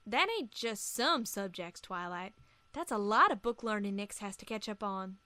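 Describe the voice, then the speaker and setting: A woman's voice: medium pitch, normal speed, sharp tone, no echo, close to an everyday voice. A woman voicing a folksy, plain-spoken young female farm pony with a Southern US accent in an audio story. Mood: easygoing, warm. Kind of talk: acted audio story dialogue.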